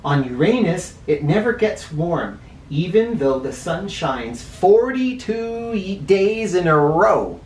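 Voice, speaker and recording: A man reads a children's picture book aloud in a roomy, echoey space, a little way from the mic. He has a medium-pitched voice and explains as he goes, slipping into informal asides. Faint noise sits under the recording. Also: big pitch swings, slow, hardly any pauses, darkish tone, recorded from a distance. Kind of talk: read-aloud storytelling with teaching asides.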